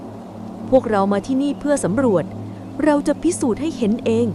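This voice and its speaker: A woman's voice: high-pitched, normal speed, noisy background, lightly acted. A woman voicing a determined, confident young hiker with a composed, assured manner in a story.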